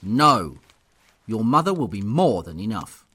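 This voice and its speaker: A man playing a stern, dismissive middle-aged duke and father with a husky, gruff voice in an audio drama. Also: fairly low pitch, sing-song, unhurried, pushed and bright, full character voice.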